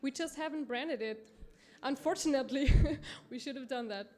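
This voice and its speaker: A woman from Austria with an Austrian accent, giving a conference presentation in a halting way with many fillers and self-corrections. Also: high voice, darkish tone. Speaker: conference speaker from a promotional bank.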